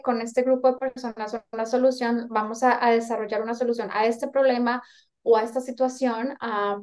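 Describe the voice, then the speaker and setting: Earnest Colombian woman, higher voice. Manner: reflective, rambling, earnest. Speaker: guest. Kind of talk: casual interview chat.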